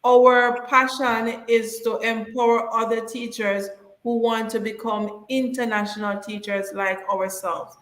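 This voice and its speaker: Woman live stream co-host, slightly high voice, chatty and encouraging, echoey room. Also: little pitch movement, unhurried, fairly bright tone. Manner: reads out viewer comments and urges viewers to subscribe.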